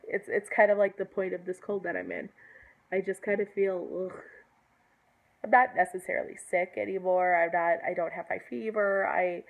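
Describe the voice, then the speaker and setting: A young woman with a hoarse voice from a cold, talking earnestly in a casual vlog. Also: lowish voice, low-quality recording. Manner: earnest, lots of ums and restarts. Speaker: pagan vlogger.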